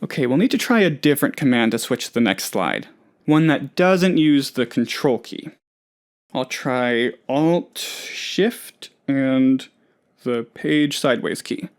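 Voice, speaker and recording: A man's voice: mid-range voice, expressive, measured pace. A man playing an eccentric, eager, scatterbrained office worker in a comedic video game, rambling earnestly about absurd things. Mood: absorbed, muttering. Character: eccentric, eager, scatterbrained male office colleague, cheerfully odd.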